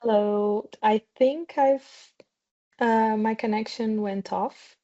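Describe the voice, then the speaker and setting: A woman's voice: a little high, steady tone, slow pace, warm tone. A woman whose native language is Portuguese, answering as a podcast guest over a remote video call, picking her words with some hesitation. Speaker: podcast guest, games industry business development manager. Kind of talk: interview answers. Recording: dull, lo-fi online call audio.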